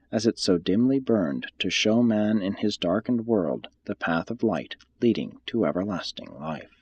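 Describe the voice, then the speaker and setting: A man reading a religious text aloud as scripted audiobook narration, in a measured, formal and solemn manner. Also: on the low side, a little mellow, slightly rough, lo-fi sound.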